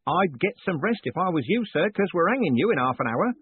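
A chummy, rambling prison warden, put on by a male narrator, pitched well above the narrator's own voice and bouncing all over the place. He sounds cheerfully deferential, with a husky edge. The sound is thin and phone-like. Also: very animated, brisk, heavily acted. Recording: tinny, phone-like dry sound.

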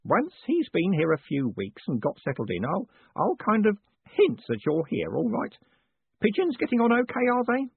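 Man putting on a high-pitched, timid old fellow. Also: wildly swooping pitch, normal speed, gravelly, breathy edge, no room sound, exaggerated voice. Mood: nervous. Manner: nervous, hesitant, deferential. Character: timid elderly male lodging keeper, eager to please. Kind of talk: audiobook character voice. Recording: narrow phone-like band.

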